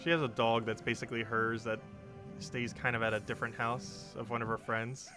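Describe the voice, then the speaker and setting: A young man with a low voice, chatting casually on a live stream while he games, wandering off on tangents and laughing often. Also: steady tone, fairly quick, music in the background. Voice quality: low-pitched voice.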